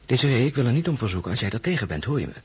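An actor playing a proud, insistent and tender husband, a marshal turned crown prince, coaxing deliberately in an audio drama. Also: male voice, mid-range voice, sing-song. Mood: earnest, insistent. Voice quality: husky. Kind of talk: Dutch audio drama acting.

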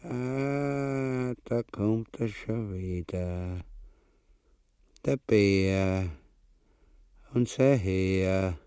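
A man's voice: fairly low pitch, drawn-out words, long pauses, warm tone, smooth, dry recording, clean studio sound. A man playing a crude, blustering, gluttonous husband in a radio play, in broad Austrian dialect. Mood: brooding, raving.

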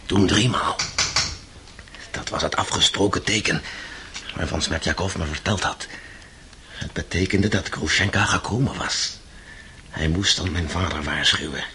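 A man's voice: deep voice, a little slow, pauses often, slight background noise, heavily acted. An actor playing an anguished, passionate accused son in a radio play, telling his story with dramatic intensity. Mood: brooding, tense. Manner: intense, dramatic storytelling, swinging from hushed confession to outburst.

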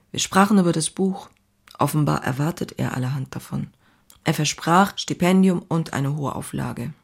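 A woman's voice: fairly low pitch, creaky, slightly rough, heavily acted. An actress playing an outspoken, passionate woman writer who confides in her diary in an intimate, wry way. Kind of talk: dramatized diary reading, scripted narration.

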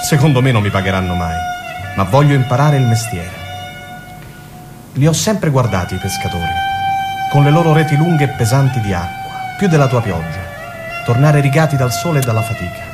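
A man's voice: mid-range voice, lively intonation, fairly quick, natural voice. A man playing a tender, dreamy young man in love, reading a letter lyrically in a radio drama. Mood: dreamy, earnest. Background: instrumental music.

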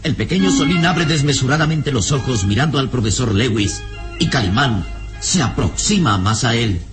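A man's voice: mid-range voice, lively intonation, normal speed, music in the background, close to an everyday voice. An actor playing a dramatic male narrator of an adventure radio serial, reading scripted scene descriptions with suspense. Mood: suspenseful.

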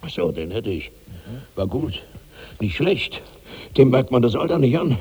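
Radio-play glutton: older, enormous, demanding, husky, unhurried delivery. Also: male voice, mid-range voice, big pitch swings, warm tone, long reverb tail, highs cut off, exaggerated voice. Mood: fussy, demanding. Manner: slow, grumbling, demanding. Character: gluttonous 65-year-old giant man, insatiably hungry, demanding. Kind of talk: 1960s German radio drama acting.

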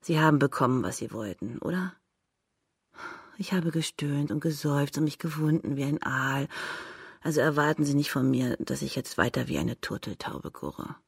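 Proud, husky courtesan with vocal fry. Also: female voice, low voice, normal speed, dry recording, clean studio sound, heavily acted. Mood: scornful. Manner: cynical, dismissive, frank. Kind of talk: radio drama acting.

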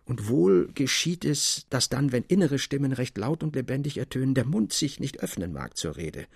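Gravelly, expressive male narrator. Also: mid-range voice, normal speed, dry recording, studio quality. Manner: expressive, theatrical delivery. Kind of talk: scripted literary narration with acted quotes, radio production.

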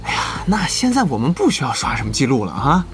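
A man's voice: mid-range voice, wildly swooping pitch, fast talker, faint music behind. An actor playing a young male online gamer in an audio drama, chummy and bargaining, easily exasperated and full of interjections. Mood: breezy, persuasive. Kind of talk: Chinese audio drama acting.

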